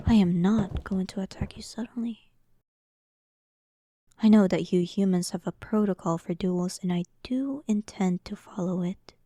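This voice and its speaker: A woman's voice: mid-range voice, warm tone, no room sound, lightly acted. A woman voicing an arrogant, sarcastic demon queen in a roleplay audio drama, teasing and condescending, often dropping toward a whisper. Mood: mocking, commanding.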